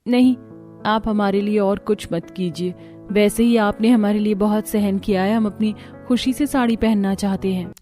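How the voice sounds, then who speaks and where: A woman voicing a gentle, deferential young bride in a cartoon family story. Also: medium pitch, fairly even intonation, moderate pace, faint music behind, close to an everyday voice. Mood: worried, gentle.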